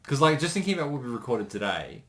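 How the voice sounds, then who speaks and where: A man co-hosting a casual commentary, easygoing and agreeable with quick asides. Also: medium pitch, very animated. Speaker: co-host of a creator commentary.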